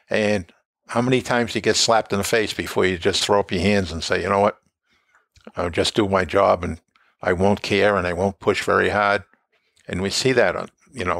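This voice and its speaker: Older male co-host, low-pitched, measured explainer, dry close sound. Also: vocal fry, fairly bright tone, no echo. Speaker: podcast co-host, former safety board member. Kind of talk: conversational podcast discussion.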